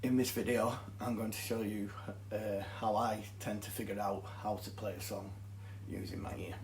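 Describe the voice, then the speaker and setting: A man's voice: fairly low pitch, little pitch movement, normal speed, vocal fry, slight background noise. A man with a thick Yorkshire accent, methodically explaining guitar scales and chords as a teacher. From Yorkshire, England. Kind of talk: guitar tutorial. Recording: echoey room with guitar playing. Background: guitar music.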